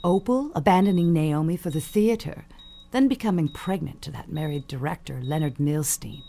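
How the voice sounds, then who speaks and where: An actress playing an elderly, imperious, manipulative dying matriarch in an audio suspense drama, haughty and theatrical in manner. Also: female voice, deep voice, lively intonation, normal speed, vocal fry, warm tone, a little hiss or hum. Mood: scornful, coaxing. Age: older.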